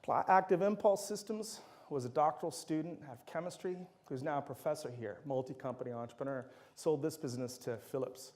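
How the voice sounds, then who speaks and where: A man's voice: on the high side, fairly quick, hardly any pauses, a little mellow. A middle-aged man, a native Dutch speaker, giving an enthusiastic university lecture full of anecdotes. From Netherlands.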